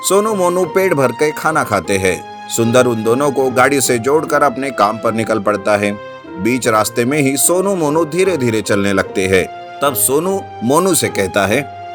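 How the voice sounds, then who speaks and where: A man narrating an animated children's story from a script. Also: medium pitch, unhurried. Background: background music.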